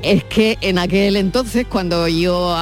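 A middle-aged woman from Andalusia hosting a radio talk show, speaking in a measured, neutral broadcast style. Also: lowish voice, soft background music. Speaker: radio host.

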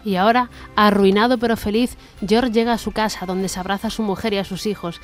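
A woman's voice: mid-range voice, steady tone, quick pace. Woman narrating a radio play over music.

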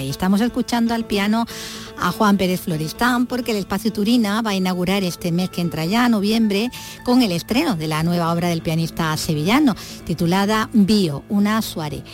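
A woman presenting a radio culture program, conversational and linking segments with fillers. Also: on the low side.

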